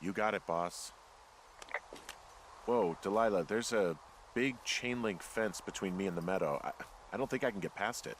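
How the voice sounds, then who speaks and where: A man's voice: fairly low pitch, normal speed, long pauses, a little hiss or hum, natural voice. An actor playing a sarcastic, suspicious everyman fire lookout in a video game, with an American accent and a plainspoken, naturalistic delivery. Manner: sardonic, plainspoken.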